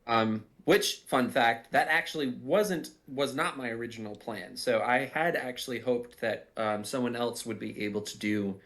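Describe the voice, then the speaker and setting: A man's voice: medium pitch, moderate pace, few pauses, fairly bright tone. Reflective man explaining his creative work. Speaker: audio drama writer and voice actor. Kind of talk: casual behind-the-scenes commentary.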